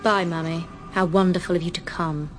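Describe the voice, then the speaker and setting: An actress playing a petulant, unstable young daughter in a radio drama, with a sulky, pleading manner. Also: female voice, fairly low pitch, steady tone, brisk, faint music behind, natural voice. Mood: cool, polite. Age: young adult.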